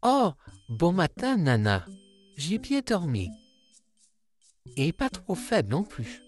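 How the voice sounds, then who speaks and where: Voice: medium pitch, huge pitch swings, takes it slowly, soft background music. A performer voicing a polite, eager, helpful male puppet come to life in a cartoon comic dub. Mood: cheerful.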